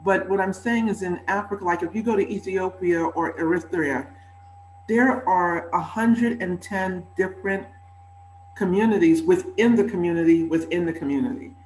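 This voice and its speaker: A man's voice: high-pitched, normal speed. A man on a video-call panel speaking earnestly, with fillers and self-corrections. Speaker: conference panelist. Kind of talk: casual panel discussion. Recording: echoey room with faint hum.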